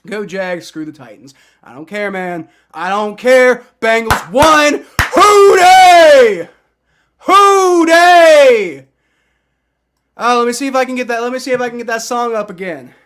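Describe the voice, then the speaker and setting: A young man calls out his team's win on a fan livestream, yelling and swearing with raw excitement. His voice sits very high and sounds bright and strained. He has shouted himself partly hoarse. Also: unhurried, a little hiss or hum. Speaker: sports fan livestream host. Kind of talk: excited live fan reaction.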